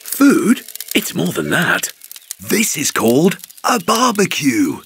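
A man's voice: on the high side, huge pitch swings, takes it slowly, background noise, exaggerated voice. A man voicing a jolly, patient father parrot in a children's cartoon, explaining things playfully and expressively. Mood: cheerful, patient.

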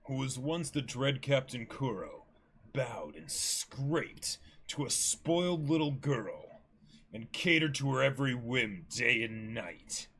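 A man's voice: mid-range voice, sing-song, a bit bright, slightly rough, full character voice. A man voicing a cold, bitter pirate villain posing as a mock-polite butler in a dramatic manga reading. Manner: slow, deliberate, mock-polite.

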